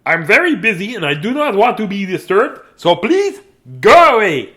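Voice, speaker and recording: A man's voice: a little high, steady tone, vocal fry, a bit bright, exaggerated voice. A man voicing a grumpy young male penguin who wants solitude, huffy and emphatic in a storybook reading. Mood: huffy, annoyed. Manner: huffy, emphatic, drawn-out refusals.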